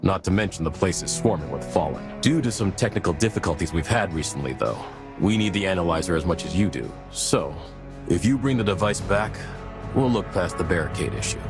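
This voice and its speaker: A man's voice: deep voice, lively intonation, fairly quick. An actor playing a firm, practical male guerrilla captain in a video game, direct and businesslike. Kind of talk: video game cutscene dialogue. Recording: music clearly behind the voice.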